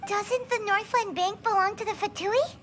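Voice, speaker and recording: A woman voicing a small, chatty, excitable fairy girl with a squeaky childlike voice in a video game. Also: normal speed, a little hiss or hum. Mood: puzzled, concerned. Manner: chirpy, refers to herself in third person. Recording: background music under the voice.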